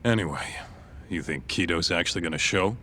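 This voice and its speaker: A man's voice: low voice, a little fast, bright, forceful tone, a little hiss or hum. A man playing a brash, casual tough sidekick to a detective in a video game cutscene. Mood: casual, joking.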